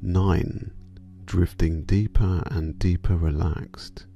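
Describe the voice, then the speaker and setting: Really slow dark mellow guy doing a sleep meditation, soothing, soft breathy endings, calm music behind. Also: low voice, highs cut off. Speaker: meditation guide. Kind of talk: guided sleep meditation, hypnotic countdown.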